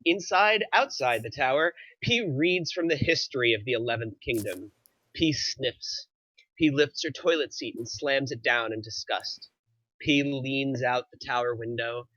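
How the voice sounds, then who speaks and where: Bright-voiced man reading stage directions. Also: mid-range voice, measured pace, no echo, rough recording. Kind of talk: scripted narration at a screenplay table read.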